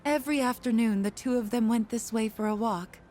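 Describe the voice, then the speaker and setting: A woman's voice: fairly high pitch, fairly quick, mellow, a little hiss or hum, close to an everyday voice. An actress playing a gossipy middle-aged neighborhood mother who chats and tells local stories. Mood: chatty. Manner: chatty, storytelling. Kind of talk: video game voice acting.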